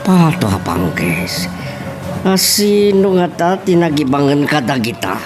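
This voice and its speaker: A man's voice: fairly high pitch, sing-song, slow, over music. A man acting a commanding, impatient town mayor in an Ilocano radio-style drama. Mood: commanding, irritated.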